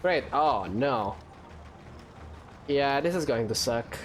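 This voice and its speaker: A man's voice: mid-range voice, animated, slow. A man giving casual gameplay commentary in short, muttered reactions. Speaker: gaming video host. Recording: game music behind the voice.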